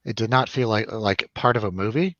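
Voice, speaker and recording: A man's voice: fairly low pitch, fairly quick, fairly bright tone, no room sound, muffled recording. A man hosting a casual film review chat, rambling and jokey, putting questions to his co-host. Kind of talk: casual chatty film discussion over drinks.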